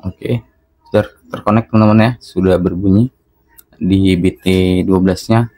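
A man's voice: low-pitched, steady tone, moderate pace, mellow, dry recording. A man narrating a casual hands-on product tutorial, talking instructively to his viewers. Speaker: product reviewer.

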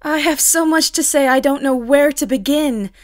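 A woman's voice: high voice, moderate pace, no echo, studio quality, close to an everyday voice. An actress playing an eager, headstrong young lady in a period audio drama, with an insistent manner. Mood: delighted.

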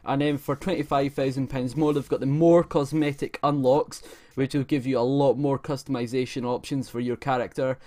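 A young man with a medium-pitched voice gives off-the-cuff gaming commentary. He is informal and enthusiastic, swearing freely as he talks into a desk mic at home. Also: fairly even intonation, moderate pace, a little mellow. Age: 20s. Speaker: gaming video creator. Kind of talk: casual gaming news commentary over gameplay. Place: indoor room at home. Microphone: desk microphone close to mouth.

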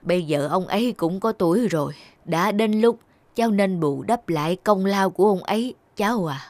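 A woman's voice: medium pitch, expressive, moderate pace, a little mellow, no echo, lightly acted. A woman voicing a kindly but scolding old village shopkeeper in a folksy, earnest way. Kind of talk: Vietnamese cartoon dubbing.

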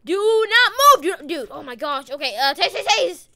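A speaker giving excited, slangy gameplay commentary and urgent police roleplay into a close microphone. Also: sits high, normal speed, no room sound. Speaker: gaming video host playing a police officer.

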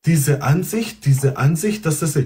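Stream host, middle-aged man, husky, blunt, cutting, phone mic at arm's length. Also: a little high, lively intonation, a little slow, warm tone. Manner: blunt, cutting, swears at opponents. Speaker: livestream host debating callers. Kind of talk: heated livestream debate. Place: indoor room at home. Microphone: phone front camera at arm's length.

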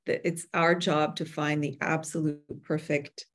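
A woman in her forties with a slightly low voice speaks as an expert guest on an online webinar. She explains things in measured phrases, talking over a video call from an indoor room. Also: level tone. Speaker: guest expert, hybrid publisher. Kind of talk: webinar interview answers. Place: room with bookshelves at home or office, indoors. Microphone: computer video call.

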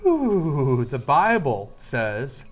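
A man preaching with enthusiasm, reading a Bible passage slowly and emphatically. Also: medium pitch, wildly swooping pitch, faint music behind. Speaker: minister.

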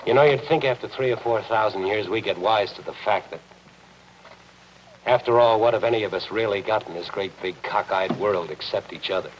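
A hard-boiled, world-weary newspaper reporter played by a man, low-voiced and dry. He talks with sardonic persistence in 1950s noir style, over the faint noise of an old film soundtrack. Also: brisk, dull audio, lightly acted. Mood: wry, world-weary. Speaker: actor playing a newspaper reporter. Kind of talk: 1950s film noir television drama acting.

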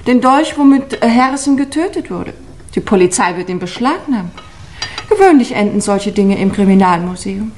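An actress playing a lord's composed, pointedly needling niece in a 1950s German radio play. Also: female voice, mid-range voice, expressive, moderate pace, a little mellow, a little hiss or hum, close to an everyday voice.

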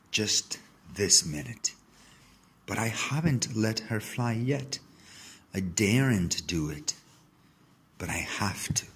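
A man reading a children's story aloud in the first person, with deliberate, dramatic phrasing. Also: on the low side, a bit bright, breathy edge. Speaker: story reader.

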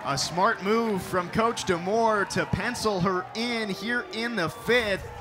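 High-voiced young male play-by-play announcer. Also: takes it slowly, crowd noise, background noise. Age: young adult. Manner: crisp broadcast delivery, rising to excited calls on big plays. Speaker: sports reporter and play-by-play announcer. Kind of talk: televised softball play-by-play.

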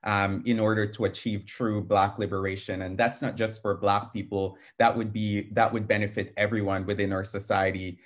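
A man's voice: lowish voice, level tone, normal speed, hardly any pauses. A young man on a video call with a headset mic, speaking earnestly as a panel speaker, with frequent ums. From Canada. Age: young adult. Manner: earnest, fluent with many ums. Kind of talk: webinar talk. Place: small room at home.

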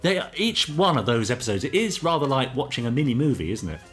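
Quick, dry-witted male co-host. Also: medium pitch, very animated, soft background music. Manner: dry, self-mocking humour. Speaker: podcast co-host. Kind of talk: casual chat.

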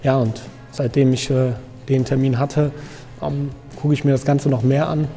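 A man in his thirties from Germany, a guest in an interview, talking casually with many fillers and pauses. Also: mid-range voice, little pitch movement, warm tone, in a large hall, soft background music. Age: 30s. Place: photo studio with grey backdrop.

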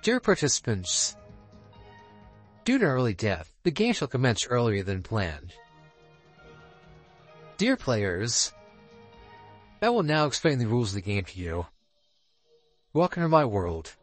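A man's voice: medium pitch, big pitch swings, measured pace, long pauses, faint music behind. Man putting on a stately god game master, sweeping intonation. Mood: solemn, commanding. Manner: formal, stately announcing. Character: male god of time and space, solemn game master. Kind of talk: reading visual novel dialogue aloud.

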